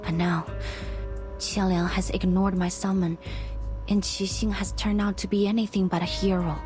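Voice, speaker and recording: A woman's voice: mid-range voice, little pitch movement, normal speed, over music, lightly acted. A woman playing an earnest, dutiful young police officer, polite, sincere and resolute.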